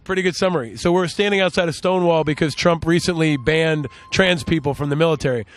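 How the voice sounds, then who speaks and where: A man asking passersby questions on a city street. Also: on the high side. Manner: brisk questioning. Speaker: street interviewer. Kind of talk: vox pop street interview.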